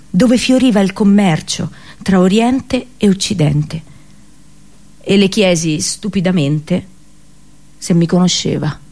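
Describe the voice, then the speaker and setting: A woman actor delivering a theatrical radio drama monologue, dramatic and halting, with deliberate pauses and repetitions. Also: medium pitch, a little mellow. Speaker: actress performing a monologue.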